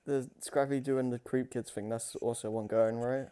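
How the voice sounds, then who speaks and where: A tired man co-hosting a casual livestream chat in a halting, tentative manner. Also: medium pitch, steady tone, warm tone, a little hiss or hum. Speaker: co-host.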